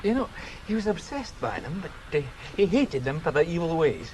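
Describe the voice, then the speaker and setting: An actor playing a garrulous Scottish police sergeant who relishes old local tales, in radio drama style. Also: male voice, on the high side, very animated, normal speed, darkish tone, a little hiss or hum, rough recording. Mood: wry, confiding. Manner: garrulous storyteller.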